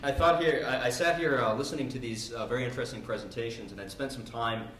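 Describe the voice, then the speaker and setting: A man's voice: medium pitch, fast talker, a bit bright, a little hiss or hum. A man speaking as an academic panelist at a conference, in a hesitant way with many fillers. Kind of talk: conference talk. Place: conference room. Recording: echoey conference room.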